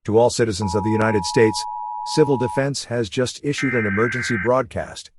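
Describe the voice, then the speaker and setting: A man reading a scripted emergency broadcast as an announcer, in a formal and measured manner. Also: mid-range voice, loud music behind the voice.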